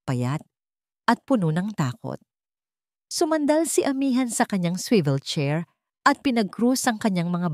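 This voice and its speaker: A woman reading a scripted dramatic story in a measured, suspenseful way with dramatic pauses. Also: fairly low pitch, a little mellow, no echo, clean studio sound.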